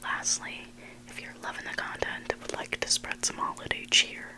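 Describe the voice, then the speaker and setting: A person whispering a story in ASMR style as a host, hushed and deliberate, pausing between short phrases. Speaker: ASMR host reading submitted online stories.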